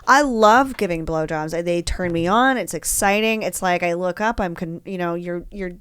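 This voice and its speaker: Female podcast guest, clear smooth voice, candid informal chatter, dry close sound. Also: fairly low pitch, normal speed, fairly bright tone, no room sound. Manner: frank, uninhibited, informal with frequent "like". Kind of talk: casual chat.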